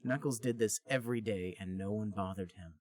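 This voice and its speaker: A man's voice: fairly low pitch, brisk, a little mellow, no room sound, studio quality. A man hosting a comedy podcast, narrating a story aloud in a plain storybook manner. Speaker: podcast host reading a story. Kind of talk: comic read-aloud narration.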